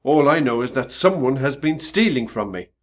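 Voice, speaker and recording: Radio play boss male actor: authoritative, suspicious, clipped formal phrasing, very animated pitch. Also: moderate pace, close to an everyday voice. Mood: stern, dismissive. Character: stern, suspicious company boss investigating staff theft.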